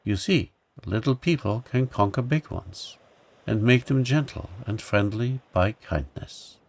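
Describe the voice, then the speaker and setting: A man voicing a noble, gentle lion in a storybook reading, speaking pleasantly and kindly. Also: fairly low pitch, expressive, unhurried, vocal fry, heavily acted. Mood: wise.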